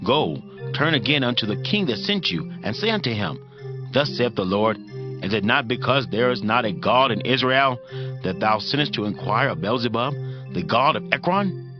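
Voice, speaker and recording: A man's voice: medium pitch, very animated, moderate pace, lightly acted. A man playing an earnest royal messenger in a dramatized Bible audiobook, reporting in an emphatic, declamatory way. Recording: slightly dull audio with prominent music. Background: music.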